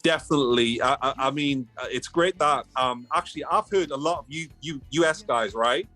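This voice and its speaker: Animated Geordie man chatting. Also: medium pitch, fairly quick, no echo, soft background music. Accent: Geordie (Newcastle). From Newcastle, England. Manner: animated, repeats himself, swears casually. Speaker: guest. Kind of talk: casual podcast chat.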